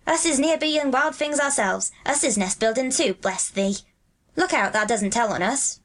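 A woman's voice: high voice, expressive, normal speed, pitched above the performer's own voice. An earnest young Yorkshire lad from a storybook, as a woman narrator acts him out. He talks in broad rustic dialect, plain and homely. His manner is kindly and reassuring. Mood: gentle, coaxing.

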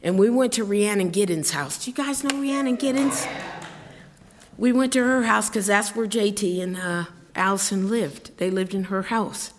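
A woman's voice: mid-range voice, normal speed, big-room sound, a little hiss or hum. A middle-aged woman, a singer-songwriter, telling a rambling, chatty story between songs at a live show, laughing as she goes. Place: live concert venue with audience.

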